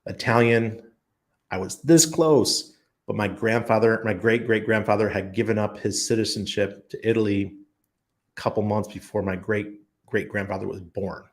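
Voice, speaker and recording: A man with a fairly low, gravelly voice hosts a casual livestream and answers viewers' chat. He rambles and thinks out loud, full of ums and false starts. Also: fairly even intonation, normal speed. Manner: rambling, thinks aloud with many ums and restarts. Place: expat living abroad.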